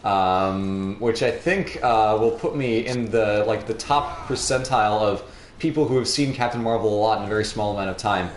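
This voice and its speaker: A young man chatting casually and a little haltingly as he hosts a gaming livestream. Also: on the low side. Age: young adult. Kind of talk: casual chat while playing a game. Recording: roomy echo, faint background noise.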